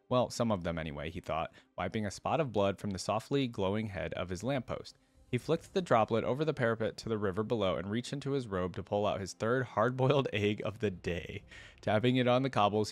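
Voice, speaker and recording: A man hosting a reaction video, reading lore aloud and adding casual, informal asides as he thinks out loud. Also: fairly low pitch, dry recording.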